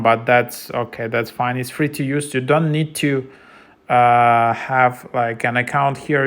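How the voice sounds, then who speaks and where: A man's voice: mid-range voice, fairly bright tone. A man presenting a casual, unscripted app tutorial, explaining things in a hesitant, loosely phrased way.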